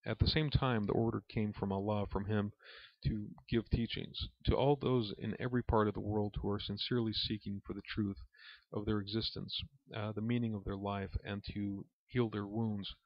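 A man reading aloud from a spiritual book as a lesson, in a measured, reverent manner. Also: lowish voice, steady tone. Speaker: reader and religious teacher. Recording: dull, lo-fi recording in an echoey room.

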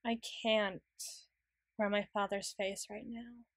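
A woman playing a proud, anxious, easily flustered tsundere young woman in an intimate ASMR roleplay, stammering and halting with wordy, formal phrasing. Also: mid-range voice, little pitch movement, creaky, dry recording, studio quality. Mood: guarded, weary. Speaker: audio roleplay voice actor.

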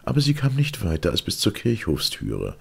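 A man narrating a fairy tale as an audiobook in a measured, solemn, expressive way. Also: fairly low pitch, creaky, husky.

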